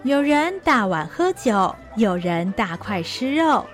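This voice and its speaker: A woman narrating an audio drama story in a gentle, expressive way. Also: fairly high pitch, takes it slowly, soft background music.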